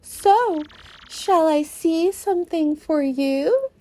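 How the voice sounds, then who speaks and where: A woman puts on a grand mystical fortune teller from a fantasy game. Her voice floats very high, shifted up, with theatrical formal phrasing delivered slowly. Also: lively intonation, mellow, heavily acted. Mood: grand, mysterious.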